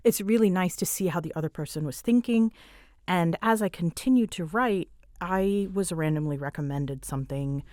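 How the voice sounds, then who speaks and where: A woman's voice: fairly low pitch, normal speed, dark tone, dry recording, studio quality. A woman chatting casually and animatedly as she answers listener questions, with a giggly, self-deprecating manner. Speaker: hobbyist audio roleplay creator answering listener questions.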